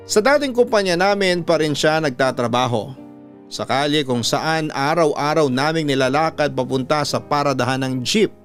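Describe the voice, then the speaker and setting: A man with a Filipino accent reading a listener's story as radio host, in dramatic scripted narration. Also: a little high.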